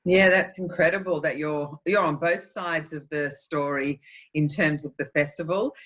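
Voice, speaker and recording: A host with a bright, forceful voice welcomes viewers and asks the guest questions, adding short encouraging replies. The audio is dull and lo-fi, as if it came over a video call. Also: medium pitch, steady tone, normal speed. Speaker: festival host and interviewer. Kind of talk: friendly scripted interview questions.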